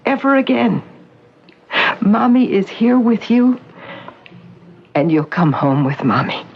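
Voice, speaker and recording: An actress playing a woman who poses as a patient's mother, speaking in a soft, coaxing, soothing way. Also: fairly high pitch, big pitch swings, takes it slowly, highs cut off, slight background noise, exaggerated voice. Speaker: visitor posing as the patient's mother.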